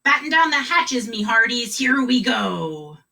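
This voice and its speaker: A woman voicing a boastful, gruff male pirate bus driver who talks in pirate slang and growls "arg". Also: on the high side, sing-song, measured pace, pushed and bright, distant mic, full character voice.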